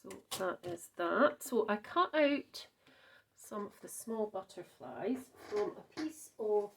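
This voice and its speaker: Scottish lady showing a craft card, slow and chatty, bit gravelly. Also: medium pitch, animated, a little hiss or hum. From Scotland. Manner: chatty, thinks aloud, frequent ums and self-corrections. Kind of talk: casual crafting demonstration.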